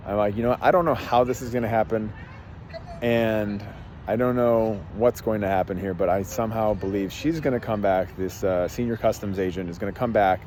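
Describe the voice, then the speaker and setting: A man with a mellow, dark voice tells a story in a loose, halting way, circling back and restarting his sentences. He speaks outdoors, and faint street traffic hums behind him. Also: fairly low pitch. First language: English. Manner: rambling, many fillers and restarts. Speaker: podcast host and life coach. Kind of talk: casual storytelling monologue.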